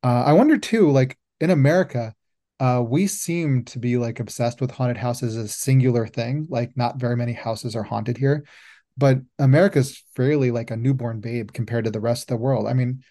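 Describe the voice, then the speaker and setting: A man hosts a casual podcast roundtable and thinks out loud. His voice is dark and mellow at a middle pitch. He rambles, restarts and fills pauses with "um" and "like.". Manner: rambling, musing, many fillers. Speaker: podcast host.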